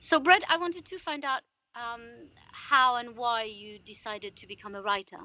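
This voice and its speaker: A woman interviewer speaking in a measured, polite way, putting prepared questions to a guest. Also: fairly high pitch, animated, pushed and bright, lo-fi sound, slight background noise. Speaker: interviewer, theatre company artistic director.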